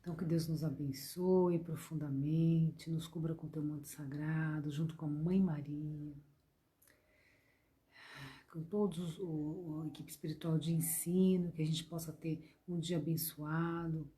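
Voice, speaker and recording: A Brazilian-accented woman gives a gentle devotional lesson in a low, mellow voice that is a little hoarse. She repeats her points and keeps turning to her listeners with tender words of address. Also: steady tone, measured pace. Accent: Brazilian Portuguese. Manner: gentle, repetitive, calls listeners "gente" and "meus amores". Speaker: spiritist course teacher. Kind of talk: devotional lesson to an online class.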